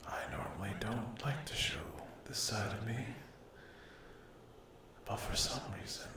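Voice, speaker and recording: A man speaking in a hushed, halting whisper as an ASMR host addressing his viewers. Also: on the low side, echoey room. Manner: hushed, halting delivery with long pauses.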